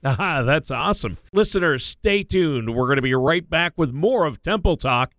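A man's voice: fairly high pitch, expressive, normal speed, a bit bright, dry recording. A man co-hosting a radio show, upbeat and agreeable, steering the talk with questions. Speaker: radio co-host.

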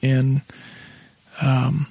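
A middle-aged pastor, hoarse and raspy tonight, talking haltingly with his wife on their podcast. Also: medium pitch, monotone, dark tone, dry recording, low-quality recording. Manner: halting, restarts often when moved. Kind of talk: casual conversation with his wife.

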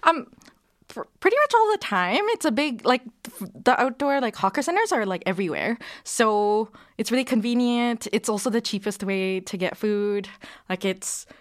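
A woman's voice: a little high, expressive, normal speed. A woman, a playwright and actor answering interview questions hesitantly, with many ums. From Singapore. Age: 30s.

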